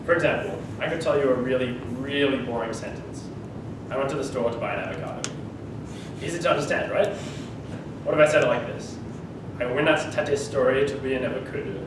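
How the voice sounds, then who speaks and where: A man's voice: mid-range voice, a little fast, noisy background. A teenage boy with an Australian accent giving a humorous, conversational speech to his class. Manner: humorous, conversational, laughs mid-sentence. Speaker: student speaker. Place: school classroom. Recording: echoey room, far from the mic.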